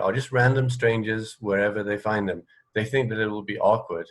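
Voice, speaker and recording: A man's voice: fairly low pitch, a bit bright. A man hosting a casual radio interview, talking in a thoughtful, hesitant and rambling way.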